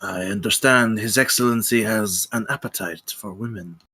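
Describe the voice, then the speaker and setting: A man playing a brooding, controlled, vengeful count in a dramatic table read, with a husky voice. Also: on the low side, moderate pace, pushed and bright, heavily acted. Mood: smooth, guarded.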